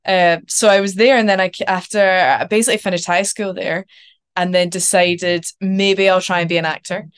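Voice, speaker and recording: A woman's voice: lowish voice, moderate pace, clean tone. A young woman with a Glaswegian Scottish accent, an actor guest talking in a casual interview over a video call from her couch at home. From Scotland. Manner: chatty and animated, with many ums and likes. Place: home living room, sitting on a couch.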